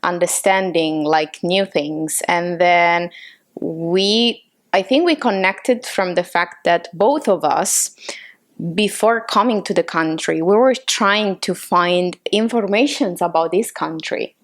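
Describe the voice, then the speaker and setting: A woman's voice: lowish voice, slow. A woman from Italy with an Italian accent, chatting casually as a podcast co-host, often searching for words with "like" and checking with "right?". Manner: hesitant phrasing with frequent "like" and tag questions. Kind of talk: casual chat.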